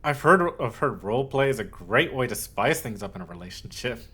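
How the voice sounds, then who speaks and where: A man's voice: medium pitch, lively intonation, slightly rough. Man acting as a clingy, obsessive lovesick yandere. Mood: giddy, excited. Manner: halting, cooing endearments, swings from hushed to insistent. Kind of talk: scripted ASMR roleplay monologue.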